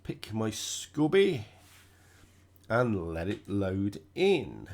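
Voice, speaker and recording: A man with a cold, sounding croaky and stuffed up, chatting casually through his own racing gameplay. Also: on the low side, very slow. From United Kingdom. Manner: relaxed, chatty, thinking aloud while driving. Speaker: gaming channel host.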